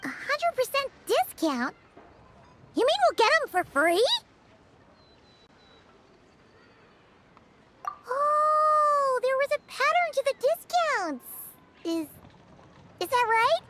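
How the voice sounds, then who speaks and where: A woman voicing a tiny, childlike, excitable and naive fairy companion in a video game, given to exclamations. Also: extremely high pitch, slow pace, heavy background noise, full character voice. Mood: amazed, excited. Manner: exclaiming, refers to self in third person.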